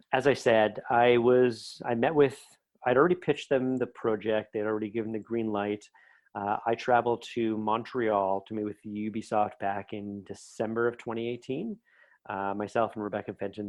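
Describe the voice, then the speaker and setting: Low-pitched man, chatty interview guest. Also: darkish tone. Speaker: interview guest, writer and podcast creator. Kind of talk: conversational interview, anecdotes about work.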